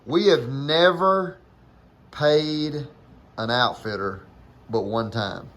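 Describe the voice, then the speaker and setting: A man with a Southern US accent chats and tells stories in a loose, folksy style. His pitch is average, and he often breaks into his own sentences. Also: slow pace, fairly bright tone. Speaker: guest, hunter telling stories with his father. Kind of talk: casual storytelling chat.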